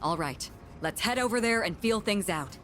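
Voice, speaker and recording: A woman voicing a composed, authoritative young woman commander in an anime video game, formal and measured. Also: medium pitch, lively intonation, fairly bright tone, faint music behind, lightly acted. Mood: composed, commanding.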